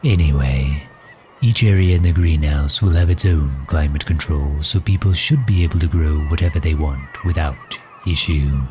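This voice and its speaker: A man playing a suave, protective villain in an ASMR audio roleplay, speaking in a gentle, courtly, formal and measured manner. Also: a bass voice, a little hiss or hum. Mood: proud, explanatory. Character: suave, protective male villain doting on his captive guest.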